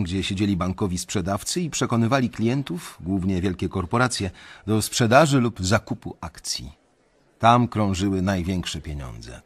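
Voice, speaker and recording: A young male banker telling his own story in a radio play. The voice is low and husky. He narrates reflectively, in measured literary sentences. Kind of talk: scripted first-person radio drama narration.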